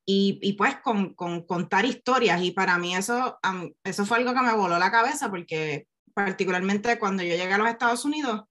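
Puerto Rican woman, forties, interview guest; mid-pitched, reflective, restarts often. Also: brisk, hardly any pauses, fairly bright tone. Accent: Puerto Rican Spanish. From Puerto Rico. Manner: reflective, with many fillers and self-corrections. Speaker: guest, neurobiologist and science communicator. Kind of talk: casual interview conversation.

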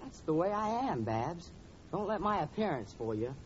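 An actor playing a hapless, melodramatic young student suitor who gushes in overwrought fashion, in a 1940s radio sitcom. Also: male voice, a little high, very animated, moderate pace. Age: young adult. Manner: gushing, overwrought. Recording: muffled, noisy old radio recording.

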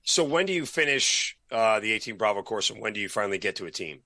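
Man, interview host, crisp bright tone, dead dry recording. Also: on the low side, lively intonation, fast, no room sound. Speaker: podcast host. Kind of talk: casual interview chat.

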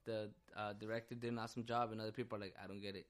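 A man's voice: fairly low pitch, very fast. Laid-back man co-hosting a chat podcast. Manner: relaxed, loose, says "yeah" and "dude" a lot. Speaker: podcast co-host. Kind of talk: casual chat.

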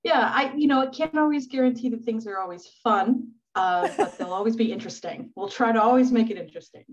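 A woman's voice: a little high, fast, lo-fi sound, a crowd in the background. A woman being interviewed on a podcast, speaking thoughtfully and searching for words with fillers and small laughs. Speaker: podcast guest. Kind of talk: conversational interview.

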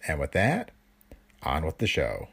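A man introducing an old radio show on a podcast in a deliberate announcing tone. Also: extremely low pitch, wildly swooping pitch, gravelly, breathy edge. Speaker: podcast host. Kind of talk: scripted introduction.

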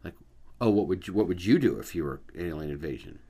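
A man's voice: low voice, very animated, fast, gravelly, warm tone, slightly rough. A man in casual movie-podcast chat, telling a rambling anecdote and swearing freely.

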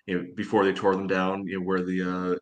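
A man with a very low voice co-hosts a casual sports podcast. His delivery is flat and measured, hedged with frequent filler phrases. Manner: measured, hedging, peppered with "you know".

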